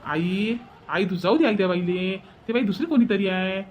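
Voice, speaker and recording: A man's voice: high voice, moderate pace, a little hiss or hum, lightly acted. A man voicing a reasonable, mildly exasperated village son in a cartoon drama, placating and insistent, with a rural Vidarbha Marathi accent. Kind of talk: cartoon family drama dialogue. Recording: dull lo-fi sound.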